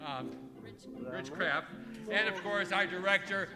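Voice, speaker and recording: Slow, high-voiced male radio announcer. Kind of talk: 1940s radio announcing, reading cast credits. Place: live stage in a museum hall. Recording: loud music, live stage recording. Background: loud music.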